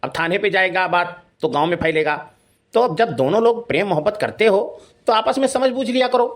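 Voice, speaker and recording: A man's voice: high-pitched, expressive, brisk. A man voicing an officious but reasonable village policeman who questions and lectures in a comedy cartoon. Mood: lecturing.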